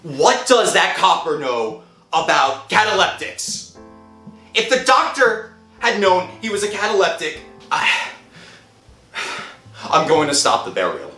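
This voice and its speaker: A woman's voice: on the low side, very animated, unhurried, a bit bright. A woman playing a guilt-ridden, haunted narrator in old-time radio horror, brooding and dramatic. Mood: defiant. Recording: echoey, faint music underneath.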